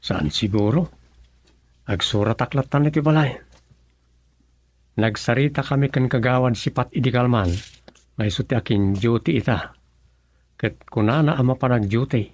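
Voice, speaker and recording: An actor playing an easygoing village husband in an Ilocano comedy radio drama, with an Ilocano Filipino accent and muttered, hesitant interjections. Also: male voice, medium pitch, moderate pace, pauses often, darkish tone, no echo, close to an everyday voice. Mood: casual. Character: easygoing comic village husband and aspiring scriptwriter.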